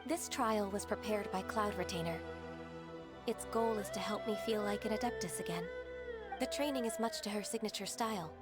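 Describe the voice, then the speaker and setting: Shy half-divine young woman played by a woman, soft-spoken, hesitant, polite, loud game music behind. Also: mid-range voice, moderate pace, close to an everyday voice. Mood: gentle.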